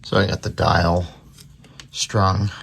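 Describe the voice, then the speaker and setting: Very deep, gravelly man thinking aloud. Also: little pitch movement, slow, noisy background. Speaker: radio repair hobbyist presenting his work. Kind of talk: casual unscripted repair narration.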